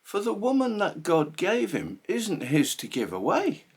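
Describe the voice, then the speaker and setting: Male poetry reader, husky, theatrical and emphatic, slow delivery with hugely varied pitch. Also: huge pitch swings. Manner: theatrical, emphatic, deliberate phrasing. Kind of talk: dramatic poetry recitation.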